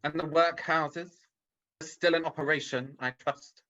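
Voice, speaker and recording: A man's voice: fairly high pitch, steady tone, a little slow, bright, forceful tone. An actor playing a miserly, scornful old businessman in a radio-style drama, curt and sneering, on a dull video call. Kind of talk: vintage radio drama acting.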